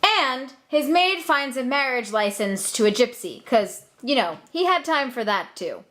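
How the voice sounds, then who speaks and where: Young female narrator, fairly high and expressive, casual flippant comic storytelling. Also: a little slow.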